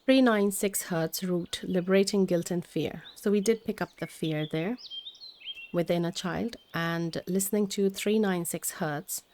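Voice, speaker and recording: Soft-spoken woman tarot reader, Hindi native. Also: on the low side, unhurried. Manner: gentle, meandering, many fillers and restarts. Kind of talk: spiritual tarot reading.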